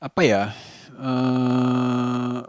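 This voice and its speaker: A man, giving a casual vlog monologue in halting, deliberate short phrases. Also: medium pitch, level tone, no echo. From Indonesia. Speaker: vlogger.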